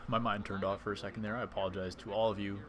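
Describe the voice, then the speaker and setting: A man's voice: fairly low pitch, flat delivery, rapid-fire, crowd noise. A man casually commentating his own baseball video game on a live stream, chatting with and answering his viewers as he plays. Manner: chatty, reads and answers chat while playing.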